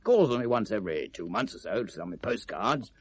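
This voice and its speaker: An actor playing an evasive, self-justifying British gift-shop owner in 1970s radio drama, talking in a hesitant, defensive, chatty way. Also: male voice, on the low side, big pitch swings, brisk, breathy edge. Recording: vintage radio drama recording.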